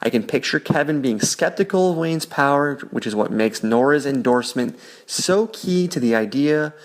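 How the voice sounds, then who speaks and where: A man leaving a voicemail for a podcast, laying out his prediction in a measured, scripted way. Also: medium pitch, lively intonation. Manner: measured, methodical, scripted delivery. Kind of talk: read-out voicemail.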